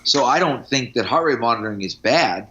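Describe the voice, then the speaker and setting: A man's voice: mid-range voice, expressive, brisk, a bit bright, muffled recording. A middle-aged man, a strength coach, talking casually on a podcast in anecdotes and examples, with firm opinions.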